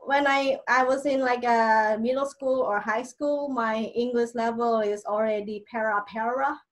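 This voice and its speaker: A woman's voice: a little high, fairly even intonation, moderate pace. A woman from Indonesia, a teacher chatting casually, laughing often and filling her speech with fillers. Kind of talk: casual chat.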